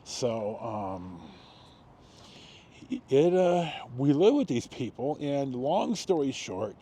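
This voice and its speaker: An American man in his sixties telling a personal story casually, rambling with long pauses and self-corrections. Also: mid-range voice, big pitch swings, dark tone, husky. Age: 60s. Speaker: video storyteller. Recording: noticeable background noise.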